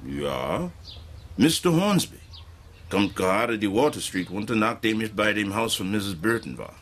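A man's voice: lowish voice, sing-song, a little slow, a little hiss or hum. An actor playing a wary, evasive working-class man with folksy, ungrammatical speech in a German radio drama. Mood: wary, stubborn.

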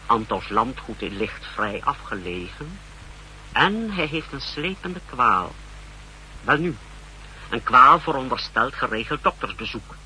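A man's voice: mid-range voice, sing-song, slow, pushed and bright, heavily acted. An actor playing a zealous secret service subordinate, reporting formally and deferentially to his chief in a 1960s radio drama. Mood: brisk, formal. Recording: faintly noisy 1960s radio recording.

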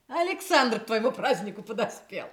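A woman's voice: fairly high pitch, big pitch swings, moderate pace. A woman acting a bustling, teasing old mother and proud home cook in a Soviet radio play. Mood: teasing, soothing.

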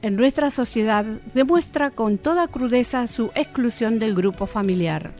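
A woman's voice: on the high side, moderate pace, darkish tone, faint music behind. A woman with an Argentine accent reading scripted documentary narration in a formal, solemn manner.

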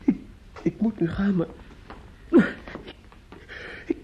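A man's voice: sits high, slow. An actor playing a grief-stricken, restless young man in a radio play, stammering and repeating himself, often dropping to a whisper. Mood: distraught. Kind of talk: intimate radio play acting. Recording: muffled, noisy old radio drama recording.

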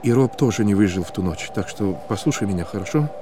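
A man's voice: on the low side, lively intonation, fast, background noise, natural voice. A man voicing a composed, pragmatic male boss on the phone in a dramatized audiobook. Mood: calm, guarded.

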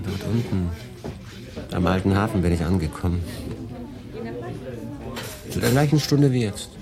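An actor playing a world-weary German refugee in a wartime radio play, confiding and rambling to a silent listener. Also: male voice, sits low. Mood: quiet, reflective. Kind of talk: radio play acting, conversational monologue. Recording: busy café murmur behind. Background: crowd in a café.

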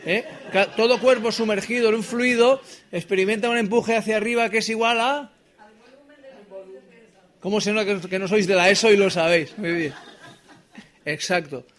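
A man's voice: high voice, fairly even intonation, moderate pace, long pauses, a bit bright. A middle-aged man with an accent from Spain does comic stage banter between songs, rambling and self-deprecating, often checking in with his listeners. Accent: Spain Spanish. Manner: rambling, self-deprecating, asks the audience tag questions. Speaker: singer-songwriter and comedian performing live. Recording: live performance with acoustic guitar. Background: acoustic guitar.

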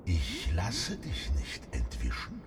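An actor playing a weary, stubborn whale who longs for death, speaking solemnly in a radio play. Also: male voice, low voice, huge pitch swings, long reverb tail, noisy background, full character voice. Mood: weary, distrustful. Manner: slow, solemn, theatrical delivery.

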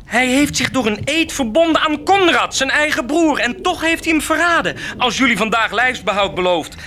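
A man's voice: very high voice, expressive, moderate pace, pushed and bright, faint music behind, exaggerated voice. A male actor playing a fiery, defiant rebel preacher in a radio drama, declaiming to rouse the townspeople. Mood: defiant, rousing. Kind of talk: theatrical radio drama acting.

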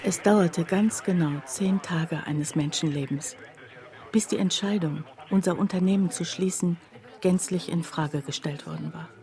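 An actress playing a sombre townswoman who recounts a friend's terrible deed in measured radio drama narration, often dropping to a hush. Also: lowish voice, crowd noise.

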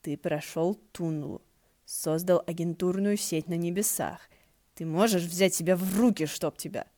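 Glum male demon read by a woman, wooden, self-scolding, low and mellow, for fantasy audiobook. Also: a little slow, close to an everyday voice.

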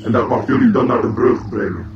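An actor playing a deeply depressed, self-pitying robot in a radio play, talking in a weary, sarcastic, morose way. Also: male voice, fairly quick, roomy sound, distant mic, over a noisy crowd, natural voice. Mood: gloomy, bitter. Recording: muffled, very noisy old radio drama recording.

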